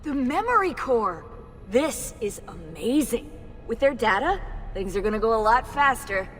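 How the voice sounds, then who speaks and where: An enthusiastic, boastful young tech expert with a fairly high voice. The sound carries a long reverb, with faint music beneath. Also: female voice, a little slow. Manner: excitable, boastful. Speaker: technician running the machine.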